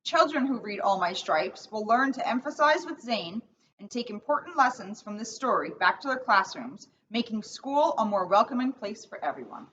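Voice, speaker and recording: Female officer for children's read-aloud, bright and animated, recorded a little dull and away from the mic. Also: a little high, normal speed. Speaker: police officer reading a children's book. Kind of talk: read-aloud storytelling with casual intro. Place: indoors in a borough hall seating area. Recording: distant mic, dull sound, faint noise.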